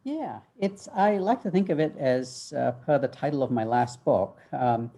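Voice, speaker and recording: A man's voice: mid-range voice, expressive, measured pace, warm tone. A middle-aged man from Malaysia who grew up in the UK, answering thoughtfully on a remote interview call with many ums. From Malaysia, raised in the UK. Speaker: guest, future-of-work author. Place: home office. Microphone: video call.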